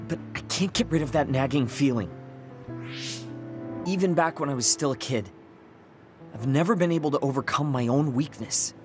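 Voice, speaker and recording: An actor playing a proud, insecure young soldier in an anime video game, curt and defensive. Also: male voice, mid-range voice, moderate pace, long pauses, natural voice. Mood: brooding, confessing. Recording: loud music under voice. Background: game soundtrack music.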